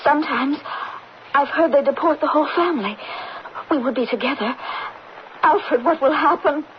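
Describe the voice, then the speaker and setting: Woman playing high-voiced anguished devoted wife. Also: moderate pace, close to an everyday voice. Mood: anxious, pleading. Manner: breathless, pleading, emotional. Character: Lucy, anguished, devoted wife of a condemned officer. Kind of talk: radio drama acting. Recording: muffled, very noisy old radio recording.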